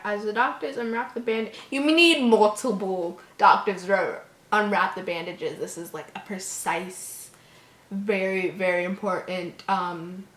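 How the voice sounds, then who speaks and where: A woman's voice: mid-range voice, fairly bright tone. Female story reader, horror material, trips over lines, drops in exasperated commentary; echoey room sound. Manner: stumbles over words, breaks off into mocking, exasperated asides. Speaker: host reading a story aloud. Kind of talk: reading a horror story aloud with exasperated asides.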